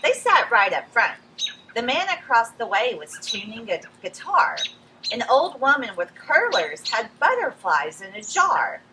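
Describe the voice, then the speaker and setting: A woman reading a picture book aloud outdoors to young children, expressive and addressing them directly as friends. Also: on the high side, measured pace. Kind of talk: animated read-aloud storytelling for young children. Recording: some background noise, outdoor ambience.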